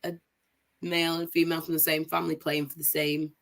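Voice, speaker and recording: A woman's voice: deep voice, little pitch movement, quick pace, vocal fry. A young woman from Manchester who sounds posh, hosting a casual football results show and reeling off scores with upbeat enthusiasm. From Manchester, England. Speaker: host of a women's football review show. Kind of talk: casual livestream results roundup.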